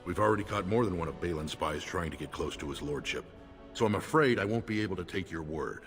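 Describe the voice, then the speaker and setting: Game role: dutiful dwarf lord's guard, low formal voice, cavernous reverb over music. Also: male voice, lively intonation, fast. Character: stern male dwarf retainer guarding his lord. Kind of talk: video game dialogue acting. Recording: long reverb, music underneath.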